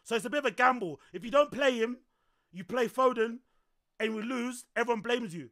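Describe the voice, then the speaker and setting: A young man streaming a football match, calling the play, swearing and reacting loudly with a voice that's going hoarse, on a headset in a small room. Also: extremely high pitch, brisk. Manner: excitable, shouting, swears often. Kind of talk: live watch-along commentary.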